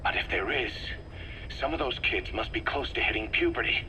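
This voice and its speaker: A man's voice: medium pitch, expressive, brisk, pushed and bright. A man playing a tough, pragmatic military commander in a video game, speaking in a terse, firm, businesslike way. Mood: tense, defensive. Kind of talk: dramatic video game voice acting. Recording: faintly noisy field tape.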